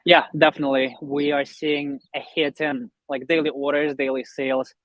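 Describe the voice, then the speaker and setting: A man's voice: on the high side, little pitch movement, normal speed, fairly bright tone, no echo, poor audio. Young man from Russia, dry close sound. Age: young adult. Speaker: online business instructor, interview guest. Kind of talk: conversational interview, explaining his business.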